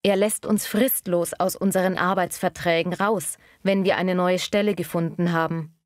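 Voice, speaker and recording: A woman acting a polite, eager bookkeeper at a job interview in a scripted language-learning dialogue, speaking courteously and clearly. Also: fairly low pitch, steady tone, moderate pace, dry recording, clean studio sound, close to an everyday voice. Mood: polite, pleased.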